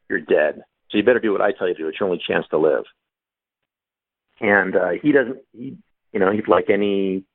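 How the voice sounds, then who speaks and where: A man, an author on a panel, explaining his story at length with thoughtful pauses and many fillers. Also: on the low side, no echo. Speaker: author guest. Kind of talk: casual panel discussion. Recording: tinny phone line.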